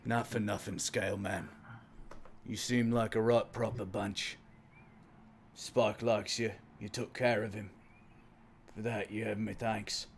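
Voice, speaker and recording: A man acts out a big, gruff outcast from a fantasy tabletop game. The voice is low and full of gravel, with plain, folksy, terse phrasing. Some background noise sits behind it. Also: level tone, a little slow, pauses often. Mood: gruff, grateful. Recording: noisy background ambience.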